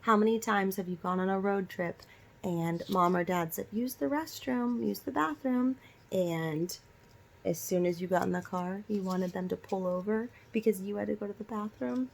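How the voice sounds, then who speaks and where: A woman's voice: mid-range voice, steady tone, normal speed, vocal fry. A woman teacher doing a storybook read-aloud for young children, instructing and asking them direct questions. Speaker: elementary school teacher.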